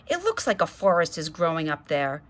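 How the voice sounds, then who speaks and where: A woman's voice: deep voice, very animated, normal speed, fairly bright tone, a little hiss or hum. A woman voicing a dramatic, dismayed little girl in a storybook, exclaiming and pleading. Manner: screechy, exclaiming.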